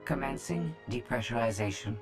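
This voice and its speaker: An actress playing a precise, detached female droid in a video game cutscene, speaking formally in a measured, clinical manner. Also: very deep voice, expressive, over loud music.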